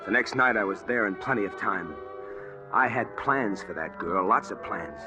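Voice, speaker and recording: A man's voice: fairly low pitch, animated, moderate pace, natural voice. An actor playing a brooding, deceived man who poses as a bashful Texan, in old-time radio drama style. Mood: brooding, haunted. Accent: Texan drawl. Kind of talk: old-time radio drama acting with first-person narration. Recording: vintage radio drama with faint music.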